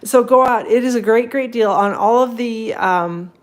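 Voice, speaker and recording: A woman's voice: a little high, normal speed. A woman hosting a casual papercraft livestream, a bit sniffly from a cold, rambling and greeting viewers by name.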